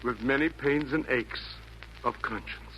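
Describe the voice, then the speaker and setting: An actor in a 1940s radio play voicing a repentant Roman emperor, earnest, hesitant and theatrical, speaking in rhymed verse. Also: male voice, medium pitch, measured pace, muffled recording, natural voice. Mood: sheepish, remorseful. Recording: noisy vintage 1940s radio broadcast.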